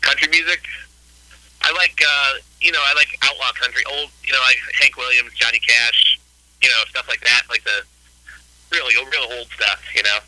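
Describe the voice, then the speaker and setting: A man in his late forties talks in a loose, rambling way as an interview guest, his voice very bright and forward. The sound is dry and close, with no room around it. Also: mid-range voice, fairly even intonation, normal speed, dry recording, low-quality recording. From Ohio, US. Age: 40s. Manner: rambling, laid-back, many "you know"s. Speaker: guest musician. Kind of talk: casual interview chat.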